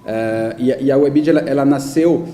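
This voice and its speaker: Mellow, dark-voiced Frenchman in echoey room. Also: medium pitch, fairly quick. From France. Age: 30s. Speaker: guest speaker, digital media company executive. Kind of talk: conversational talk in an interview. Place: indoor event talk before an audience. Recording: echoey room with faint background noise.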